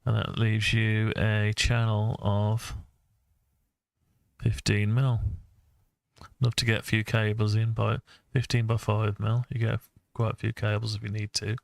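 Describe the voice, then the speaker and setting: A man with a lowish, gravelly voice and a very flat delivery presents a hobby design project. He muses hesitantly as he works through ideas, as if talking to himself. The sound is close and dry. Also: measured pace, no echo. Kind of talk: casual screen-share design walkthrough, thinking aloud.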